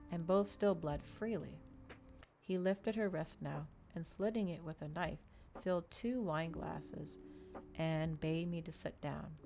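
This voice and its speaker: Low-pitched woman reading softly, gravelly edge. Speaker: streamer reading aloud for listeners. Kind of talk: soft ASMR audiobook reading.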